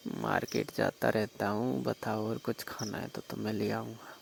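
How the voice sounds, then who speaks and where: A man's voice: lowish voice, little pitch movement, moderate pace, creaky, slight background noise. A man playing a flirtatious, pushy village brother-in-law on a staged night phone call, coaxing informally and persistently with a Rajasthani accent. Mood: casual, flirtatious. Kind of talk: staged phone-call role-play in casual talk.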